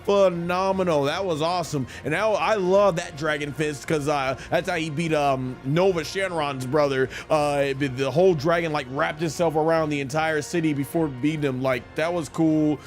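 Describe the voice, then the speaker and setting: A man's voice: a little high, steady tone, moderate pace, few pauses, soft background music. A man giving casual, enthusiastic gameplay commentary as a live streamer, gushing as he talks.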